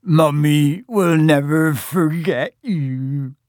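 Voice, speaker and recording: A man voicing a gruff, sentimental giant gamekeeper who dotes tearfully on his pet in a storybook reading. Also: fairly high pitch, very animated, measured pace, darkish tone, dry recording, clean studio sound, voice pushed up, heavily acted. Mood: sobbing, tender. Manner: tearful, doting.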